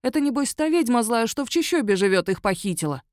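A woman reader putting on a male werewolf who protests everything in a higher, louder, aggrieved voice, pitching up as he complains and pleads his innocence. The reading is close and dry, with no room sound at all. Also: lively intonation, fast talker, dry recording, lightly acted. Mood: defensive. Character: indignant whiny male werewolf under arrest. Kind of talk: audiobook character voice.

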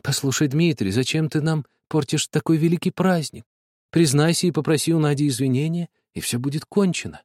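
A man reading aloud and voicing a kind, anxious mother gently urging her son to apologize. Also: a little high, fairly quick, no room sound, clean studio sound, close to an everyday voice. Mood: coaxing. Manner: gentle, coaxing. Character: kind, frightened mother trying to make peace.

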